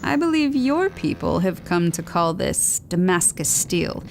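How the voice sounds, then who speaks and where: Ancient warrior queenly woman, low pitch, gravelly, solemn, imperious, formal, audio drama. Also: very animated, measured pace, slight background noise, exaggerated voice. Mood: solemn, proud. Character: ancient, proud, battle-scarred warrior woman of a lost city. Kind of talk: audio adventure drama acting.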